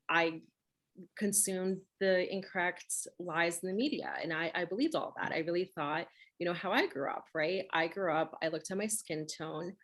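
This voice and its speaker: A woman's voice: lowish voice, fairly bright tone. A woman who grew up speaking Russian, hosting a conversational interview and talking emphatically, often checking with "right?". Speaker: host.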